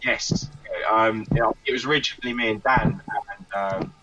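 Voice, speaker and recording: A man's voice: lowish voice, steady tone, moderate pace, pushed and bright. A man, chatting casually as an interviewed band member. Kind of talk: casual chat. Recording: lo-fi call, faint music behind.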